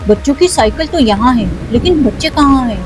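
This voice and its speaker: A woman's voice: high-pitched, lively intonation, a little fast, over music, voice pushed down, rough recording. A woman voicing a worried, cautious parent in a children's toy cartoon story.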